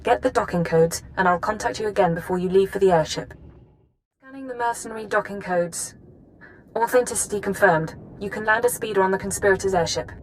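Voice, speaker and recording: A woman playing a resolute, commanding intelligence chief who gives serious, measured briefings in a video game. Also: mid-range voice, a little hiss or hum, close to an everyday voice, poor audio. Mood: grave, urgent. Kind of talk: video game dramatic acting.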